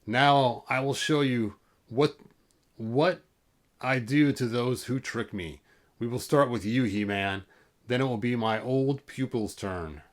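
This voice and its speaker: A man reads as a menacing villain warlord in a children's fantasy storybook. He is scheming and threatening, with deliberate phrasing and a mid-range voice. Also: medium pitch, lightly acted.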